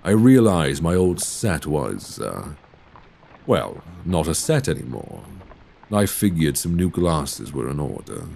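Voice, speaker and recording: A man voices a Victorian gentleman vampire with a very low voice that swings widely in pitch. He speaks slowly and intimately in courteous, old-fashioned phrases, restrained and secretive, as in a romantic ASMR audio drama. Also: very animated, slight background noise, exaggerated voice. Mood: genteel, amused. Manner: formal, genteel period diction with endearments. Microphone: close binaural microphone. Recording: binaural recording with clear background ambience.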